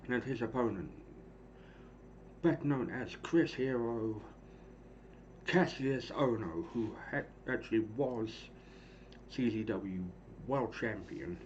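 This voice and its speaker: A hoarse man in his thirties casually calling the action of a wrestling game he is streaming, rambling and clearing his throat often. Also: mid-range voice, slow, long pauses, a little mellow. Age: 30s. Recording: dull lo-fi stream audio with clear noise.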